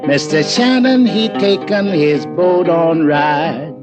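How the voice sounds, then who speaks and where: An easygoing calypso man from a 1950s radio drama. His high voice moves slowly and musically, with guitar strong behind it. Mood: singing narrative. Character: easygoing Caribbean calypso-singing male sidekick. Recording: muffled old radio recording.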